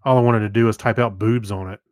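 A man's voice: lowish voice, steady tone, fast talker, no room sound, studio quality. A man in his fifties co-hosting a podcast, chatting and reminiscing in an informal way. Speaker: podcast co-host. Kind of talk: casual chat, reminiscing.

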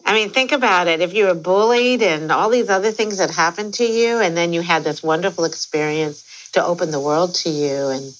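A woman's voice: on the low side, fairly quick, few pauses, low-quality recording. A woman interviewing in a casual, conversational way, responding with encouragement.

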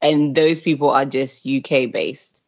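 A woman's voice: extremely low pitch, fairly even intonation, unhurried, no room sound. A woman from Zimbabwe who grew up partly in the UK, answering as an interview guest in a conversational way and rephrasing as she thinks aloud. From Zimbabwe, moved to the UK around age ten. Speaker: podcast guest, travel brand founder.

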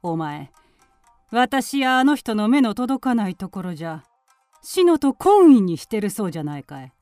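Actress as sneering aunt, middle-aged, period radio drama. Domineering, distrustful, highly animated delivery, dry studio sound. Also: female voice, moderate pace, dry recording, soft background music, lightly acted. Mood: scornful, suspicious. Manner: haughty, sneering, commanding. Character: spiteful, suspicious aunt and mistress of a village household.

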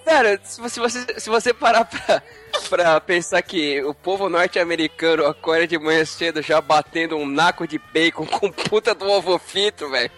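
A man's voice: on the high side, moderate pace, fairly bright tone, faint music behind. A Brazilian man in a casual podcast panel chat, speaking in loose slang with plenty of swearing. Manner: slangy, profane.

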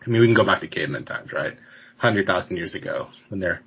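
A man's voice: low-pitched, lively intonation, fast talker, slight background noise. A man in his forties hosting a podcast interview, rambling casually with frequent laughs and restarts. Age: 40s. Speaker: podcast host. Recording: dull lo-fi sound.

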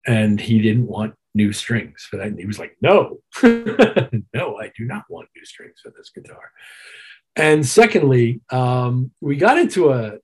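A man's voice: mid-range voice, very animated, unhurried. A middle-aged or older man, a musician being interviewed, telling detailed, reflective anecdotes in a casual way and acting out bits of remembered dialogue. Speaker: musician and producer, interview guest.

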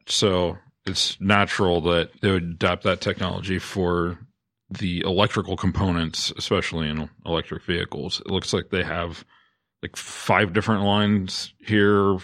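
A man's voice: low-pitched, fairly bright tone. A man co-hosting an industry podcast, talking through trade news in a casual style. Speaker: podcast co-host.

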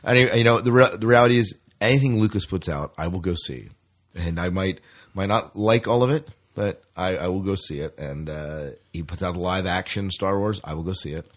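A man's voice: low-pitched, brisk. A man hosting a podcast in casual fan chat, chatty and opinionated, often prompting his co-host.

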